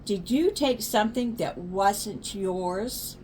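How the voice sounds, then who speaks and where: A woman's voice: medium pitch, slow pace, a little mellow, slight background noise, natural voice. An older woman voicing a curious, theatrical little girl who plays detective in a storybook. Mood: accusing. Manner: theatrical, emphatic. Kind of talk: storybook read-aloud.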